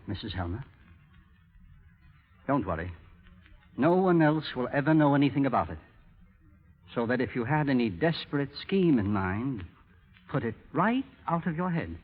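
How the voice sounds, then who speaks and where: A scornful blackmailer from 1930s radio drama, on a dull, lo-fi recording. His husky voice swings widely in pitch as he taunts and threatens with theatrical deliberation. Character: bitter, menacing male blackmailer, coldly calculating and scornful.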